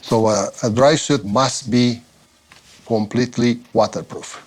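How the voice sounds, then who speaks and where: A middle-aged man from Romania with a Romanian accent, explaining his trade in a measured, instructive interview manner. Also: mid-range voice, steady tone. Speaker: dry suit maker and former diver.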